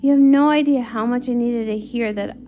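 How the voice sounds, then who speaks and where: A gracious, burdened young pony ruler , played by a woman, gentle and earnest in a comic read-along. The voice is high, with music behind it. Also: brisk, lo-fi sound, natural voice. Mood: burdened, grateful.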